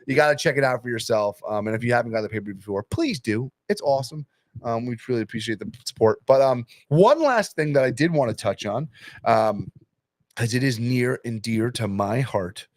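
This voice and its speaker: A young man hosting a casual podcast, hyping up matches and sponsors with excitable energy. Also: medium pitch, normal speed, dry recording. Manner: hyped, excitable, promotional. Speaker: podcast host and arm wrestling promoter.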